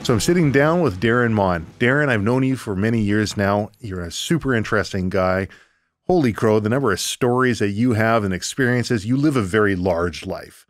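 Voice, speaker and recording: A man's voice: on the low side, moderate pace, dry recording, clean studio sound, faint music behind. A man speaking as a podcast host, introducing the show and interviewing.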